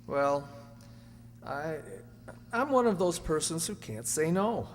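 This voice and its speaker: Young husband, played by a man, pleading and emphatic radio-drama delivery, lighter pitch, faint hum behind. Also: lively intonation, takes it slowly. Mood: earnest. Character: earnest, anxious young husband protesting his innocence. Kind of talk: amateur radio drama acting. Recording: echoey hall, faint hum.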